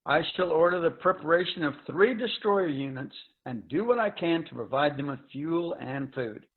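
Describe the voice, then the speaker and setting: A man's voice: fairly high pitch, animated, moderate pace. Man acting formal space officer, tinny call audio. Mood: resolute. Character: earnest male space officer pledging to a faint-hope mission. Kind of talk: dramatic reading of pulp science fiction. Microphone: video call. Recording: thin phone-like video call audio.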